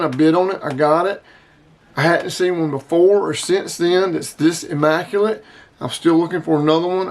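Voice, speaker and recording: A man giving a casual, plain-spoken product review as a channel host. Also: fairly high pitch.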